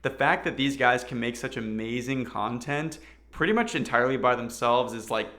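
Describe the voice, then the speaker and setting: A man with a bright, forceful voice at a middle pitch. He talks like an online commentary host, casual but earnest, leaning on his points with clear emphasis. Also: normal speed. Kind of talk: casual opinion commentary to viewers.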